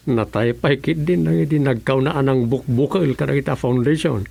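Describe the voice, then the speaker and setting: A man's voice: mid-range voice, moderate pace, vocal fry, dark tone. A male actor with an Ilocano Filipino accent playing a chatty, nosy local councilor in a comic radio drama. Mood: chatty, bantering.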